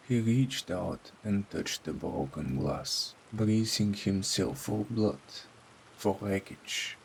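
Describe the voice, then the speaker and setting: Slow, gravelly low-voiced man narrating. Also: a little hiss or hum, low-quality recording. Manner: solemn, dramatic, deliberate phrasing. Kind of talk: scripted horror story narration. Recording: faint rain behind voice.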